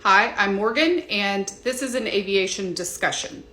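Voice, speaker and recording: A woman with an American accent presenting explanatory commentary in a measured, informative way. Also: medium pitch, sharp tone, a little hiss or hum. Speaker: presenter of an aviation discussion. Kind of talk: explanatory scripted commentary to viewers. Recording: echoey, reverberant room.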